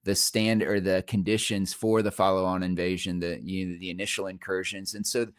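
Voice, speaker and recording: A man's voice: low-pitched, level tone, brisk, clean tone, no echo, clean studio sound. A middle-aged man, an author, talking as a podcast guest in a thoughtful, explanatory way with fillers and restarts. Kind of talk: casual interview chat.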